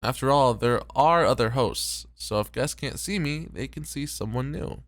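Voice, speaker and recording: Man acting as gravelly, tired flirtatious host. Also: medium pitch, moderate pace, fairly bright tone, no room sound, natural voice. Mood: weary, hesitant. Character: tired, popular male host, smooth teasing flirt. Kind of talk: ASMR roleplay.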